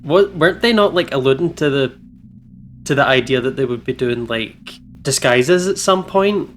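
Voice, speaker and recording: A man's voice: mid-range voice, animated, normal speed, slight background noise. A man giving casual, hesitant, giggly reactions as a let's play co-commentator.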